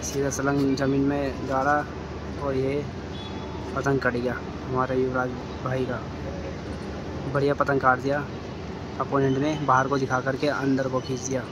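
A man's voice: medium pitch, unhurried, pauses often. A young man giving live commentary on a kite fight from a city rooftop, filming on his phone. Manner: steady running commentary, flat delivery. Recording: outdoor phone recording with street noise.

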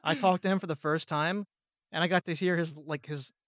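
Higher-pitched man, halting casual chat, dry sound. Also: steady tone, a bit bright, no room sound. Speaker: podcast guest, voice actor.

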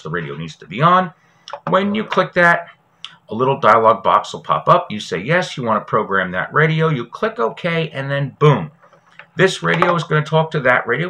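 A man's voice: medium pitch, bright, forceful tone, slight background noise. A man in his forties, a show host giving a casual how-to tutorial in a chatty, folksy way, speaking directly to viewers. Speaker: host of a prepping radio show. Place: small indoor room at a desk.

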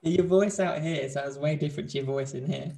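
A young man chatting casually on a video call, talkative and quick to laugh, often restarting his sentences. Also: a little high, creaky, warm tone. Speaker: host doing a video challenge. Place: bright home room. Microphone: video call, desk mic.

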